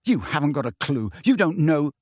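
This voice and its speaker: A man acts out a teenage boy wizard in an audiobook, anguished and quick to anger. The voice is pitched high and strained, swinging widely as it pushes out each phrase. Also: sing-song, no echo, studio quality. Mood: bitter anger. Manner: loud, strained, shaking with anger. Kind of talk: audiobook character voice.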